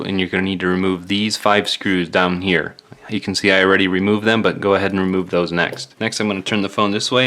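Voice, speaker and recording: A man's voice: lowish voice, pushed and bright. A man giving casual, plain-spoken step-by-step repair instructions as a tutorial host.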